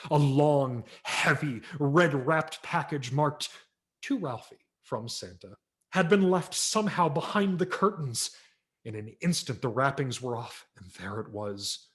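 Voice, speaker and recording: A man's voice: mid-range voice, lively intonation, a little slow, fairly bright tone. Theatrical man narrating a humorous story. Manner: theatrical, emphatic, wry. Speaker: audiobook narrator. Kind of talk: dramatic scripted story narration.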